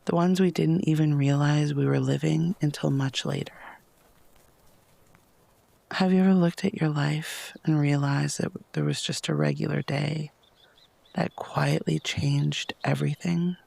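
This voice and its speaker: A man with a slightly high-set voice guides a meditation in a soft, gentle tone full of pauses. Heavy vocal fry runs through his phrases, which often fade toward a whisper. The sound is close and dry. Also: steady tone, no room sound. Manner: soft, breathy, lapsing into near-whispers; unhurried, gentle, with long pauses.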